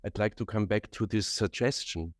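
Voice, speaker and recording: A man asking a question from the audience at a conference, measured and with some fillers. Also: lowish voice, no room sound, studio quality.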